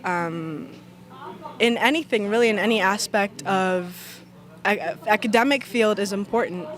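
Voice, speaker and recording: A young woman student answering interview questions politely and thoughtfully, pausing now and then. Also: medium pitch. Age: young adult. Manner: polite, thoughtful, slightly halting. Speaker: university student.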